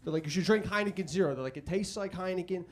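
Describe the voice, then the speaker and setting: A young man from Chicago with a Chicago accent doing a stand-up comedy set, chatting loosely and shouting out crude acted-out quotes. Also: high-pitched, fast. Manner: conversational, crude punchlines, loud acted-out quotes. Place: comedy club stage. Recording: live club audience audible. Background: audience laughter.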